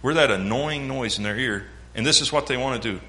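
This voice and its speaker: Bright-voiced Southern preacher. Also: male voice, medium pitch, brisk. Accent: Southern American. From American South. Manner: folksy, joking asides, mimics sounds. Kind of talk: sermon.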